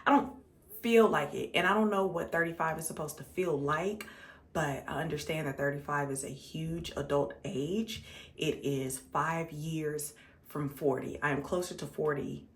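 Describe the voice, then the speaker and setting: A woman's voice: sits low, normal speed. A 35-year-old American woman chatting casually and conversationally to her viewers as a makeup vlogger, repeating words for emphasis. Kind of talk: casual chat to viewers while doing makeup.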